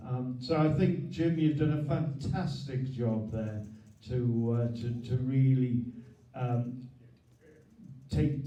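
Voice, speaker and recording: A man thanks guests and makes announcements at a community meeting, stumbling and repeating himself as he goes. His voice is very dark and mellow. He sounds far from the mic in a roomy, echoing hall. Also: medium pitch. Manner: halting, many repeated words and fillers. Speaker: host of a local history group meeting.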